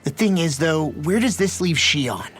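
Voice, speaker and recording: Brash young sidekick for anime game; high, swooping voice, blunt colloquial delivery. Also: male voice, expressive, measured pace, fairly bright tone. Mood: probing. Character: brash young male companion, blunt but loyal. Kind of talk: anime video game dub acting. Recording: game dialogue over background music.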